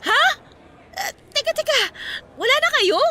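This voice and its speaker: An actress playing a chatty, concerned young best friend in a radio drama, speaking expressively and with sympathy. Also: female voice, very high-pitched, sing-song, normal speed, sharp tone, soft background music, full character voice. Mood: shocked, worried. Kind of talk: Filipino radio drama dialogue.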